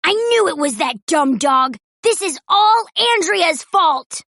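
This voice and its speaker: A woman voicing a bossy young schoolboy who shouts and gloats, for a storybook read-aloud. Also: very high-pitched, animated, slow pace, dry recording, clean studio sound, pitched above the performer's own voice, full character voice. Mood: shouting. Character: bossy young boy classmate.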